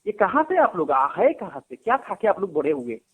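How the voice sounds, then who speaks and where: A man with a high voice argues on a recorded phone call. He is a native Gujarati speaker. He scolds and presses, repeating the same challenge again and again in a heated way. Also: big pitch swings, brisk, a bit bright, breathy edge. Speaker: devotee of a guru, arguing on a phone call.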